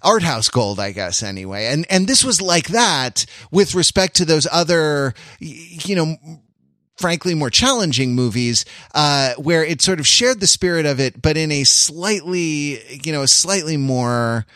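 A man talking casually about film as a podcast panelist, in a halting, rambling, analytical way. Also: fairly high pitch, animated, sharp tone, no room sound, clean studio sound.

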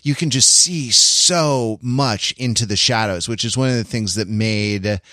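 A man co-hosting a casual film discussion, talking in a halting, digressive way and often interrupting himself. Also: mid-range voice, pushed and bright, no echo, clean studio sound. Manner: halting, digressive, self-interrupting, uses many hedging asides. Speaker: podcast co-host.

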